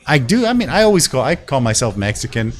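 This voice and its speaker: Male host from Canada, native Spanish speaker, mid-pitched, loose slangy chat, quick to affirm. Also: very animated. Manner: informal, slangy, frequently affirming. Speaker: show host. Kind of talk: casual live-show chat.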